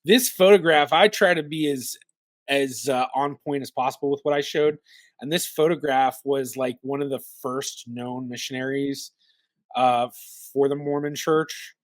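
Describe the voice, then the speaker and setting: A man's voice: medium pitch, fairly even intonation, measured pace, no room sound. A man hosting a genealogy channel, narrating and chatting in loose, self-correcting asides. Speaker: genealogist YouTube host. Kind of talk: reaction commentary and narration.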